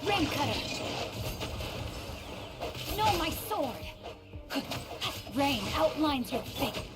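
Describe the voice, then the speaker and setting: A woman's voice: high voice, sing-song, extremely slow, full character voice. A woman playing a poised, spirited young fantasy warrior, calling theatrical, poetic attacks in an anime video game. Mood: bold, poised. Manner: theatrical, poetic battle cries. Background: loud game music and combat sounds.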